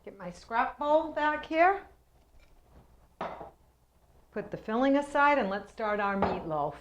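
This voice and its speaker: A woman's voice: fairly high pitch, animated, normal speed, lo-fi sound, slight background noise. A woman hosting a cooking show from her kitchen, chatty and homey as she explains each step. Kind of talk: home cooking demonstration. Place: home kitchen.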